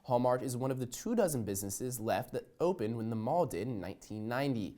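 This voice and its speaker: A young man anchoring a student newscast, reading the stories in a steady, even manner. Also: mid-range voice, brisk. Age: young adult. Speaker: news anchor. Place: student TV studio.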